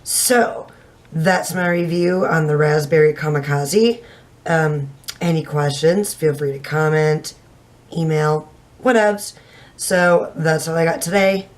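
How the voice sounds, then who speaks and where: A woman in her thirties, slightly hoarse from little sleep, giving a frank, chatty hair dye review to a camera at home. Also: deep voice, slow. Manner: frank and chatty, casual swearing. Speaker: beauty vlogger. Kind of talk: casual product review to camera. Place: home room with shelves, indoors.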